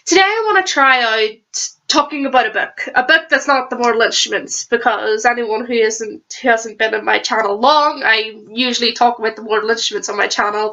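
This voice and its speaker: A woman's voice: fairly high pitch, sharp tone, low-quality recording. A young woman with a cold and a stuffy nose chatting casually in a rambling book review vlog, restarting her sentences as she goes. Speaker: vlogger.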